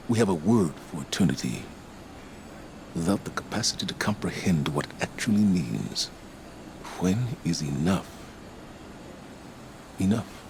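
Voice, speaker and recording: Very deep, weary military commander. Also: male voice, background noise. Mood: weary, reflective. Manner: solemn, reflective, measured. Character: weary, grave, guilt-ridden veteran male military commander. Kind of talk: dramatic video game monologue and dialogue.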